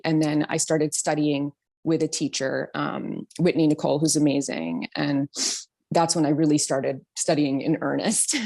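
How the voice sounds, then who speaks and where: A woman in her thirties from New York talks through her story as an interview guest. Her voice sits low, and she fills pauses with ums and soft laughs. It comes across as easy, chatty conversation. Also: creaky. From New York City. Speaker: guest, singer and voice teacher. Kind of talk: casual interview talk.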